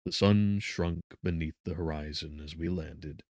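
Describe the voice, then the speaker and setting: A man with a low, deep voice reads a gothic novel as a dramatic audiobook. His delivery is measured, solemn and theatrical, recorded close and dry with very little room sound. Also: steady tone, darkish tone, dry recording. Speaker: audiobook narrator.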